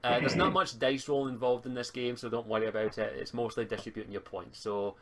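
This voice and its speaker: Male game master and stream host, mid-pitched, walking players through tabletop rules over a voice call. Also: fairly even intonation, brisk. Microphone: voice call on a live stream.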